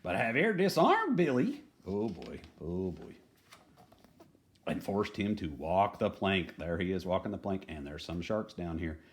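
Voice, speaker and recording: A man with a low voice reads a picture book for children. His delivery is highly animated and swings up and down, with folksy, playful drama and chatty little asides. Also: measured pace.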